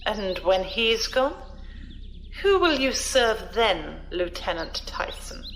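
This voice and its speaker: An actress playing a shrewd older noblewoman in a science fiction audio drama, speaking in a measured, condescending, probing way. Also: medium pitch, very animated, a bit bright, a little hiss or hum, heavily acted. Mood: cool, probing.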